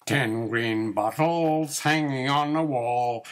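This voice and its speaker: A man's voice: mid-range voice, takes it slowly. An older man, a grandfather, reciting nursery rhymes expressively in a rhythmic, sing-song way for a small child.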